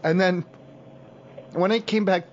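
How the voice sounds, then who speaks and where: A man making a prank call, rambling haltingly as a naive, stoned-sounding caller. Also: high voice, fairly even intonation, slight background noise. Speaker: prank caller, show host. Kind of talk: improvised prank phone call. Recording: muffled, dull lo-fi call audio.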